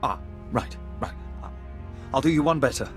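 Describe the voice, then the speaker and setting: A man plays a nervous military officer in a space-opera game. He is medium-pitched and deferential, with a halting, stammering delivery that sounds eager to save himself. Faint music sits behind him. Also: lightly acted. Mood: nervous, relieved. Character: nervous, cowardly Imperial officer eager to save his skin. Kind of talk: video game voice acting.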